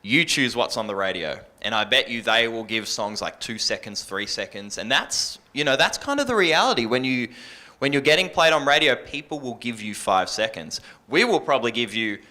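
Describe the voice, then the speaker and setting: A man's voice: mid-range voice, animated, moderate pace, pushed and bright. A man giving earnest, conversational advice on a panel as a radio presenter, often rephrasing himself as he goes. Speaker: radio presenter and music programmer on an industry panel.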